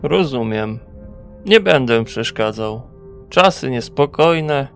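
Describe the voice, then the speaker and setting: A man playing a wary, self-assured wandering traveller in a fantasy audio drama, with a measured, dry delivery. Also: mid-range voice, lively intonation, vocal fry, soft background music. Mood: calm, sly.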